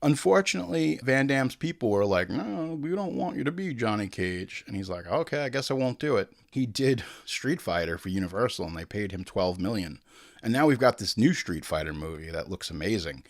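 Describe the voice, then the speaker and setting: A man's voice: fairly low pitch, lively intonation, a little fast, dry recording, clean studio sound. A middle-aged American man from Long Island hosting a film podcast in a casual, joking, hyperbolic monologue with some swearing. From Long Island, New York, United States.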